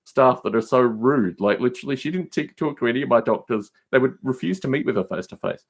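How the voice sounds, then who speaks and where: A man in his forties chats as a guest on a podcast. His pitch is mid-range and swings in a lively way. He talks emphatically, often repeating short phrases to drive a point home. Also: fast talker. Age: 40s. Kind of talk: casual podcast chat.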